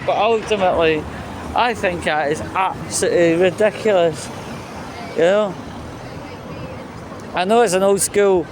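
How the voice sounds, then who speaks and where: A Scottish man with a high-pitched voice talks slowly and haltingly in a blunt, aggrieved vlog rant full of swearing. He is recorded outdoors, with clear traffic noise around him. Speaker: vlogger. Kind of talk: outdoor rant to camera, casual monologue.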